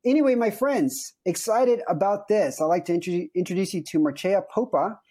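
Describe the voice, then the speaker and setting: Upbeat American man hosting a podcast, mellow voice. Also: sits high, moderate pace, slightly rough. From United States. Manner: chatty, jokey, laughs often. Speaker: podcast host.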